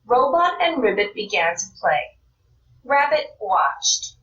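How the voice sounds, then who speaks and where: Slow, expressive woman reading a storybook. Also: a little high, roomy sound, far from the mic, lo-fi sound. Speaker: children's storybook reader. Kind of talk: read-aloud story narration.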